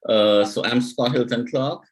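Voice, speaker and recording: A middle-aged man, an executive coach, introducing himself on a panel in a reflective, measured way over a video call. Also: medium pitch, slight background noise.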